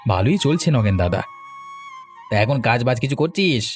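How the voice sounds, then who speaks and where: A man's voice: mid-range voice, sing-song, normal speed, lightly acted. A man voicing a village man in a cartoon, with an emphatic, conversational manner. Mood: chatty. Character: male villagers in a cartoon, bantering and quarrelling. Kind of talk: Bengali cartoon voice acting. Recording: faint music behind the voice.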